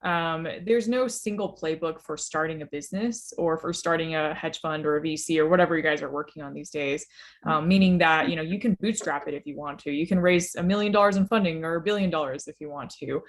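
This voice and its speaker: A woman in her thirties talks fast and casually, dropping frequent fillers as she gives advice on a webinar panel. Her voice sits a little low. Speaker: hedge fund founder on a webinar panel. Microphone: webinar call microphone.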